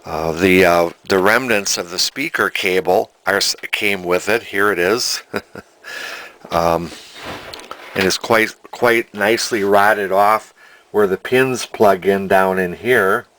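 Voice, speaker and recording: Low-voiced, folksy man. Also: a bit bright. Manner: deliberate, folksy, plainspoken. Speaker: antique radio collector and restorer. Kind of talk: casual unscripted video narration.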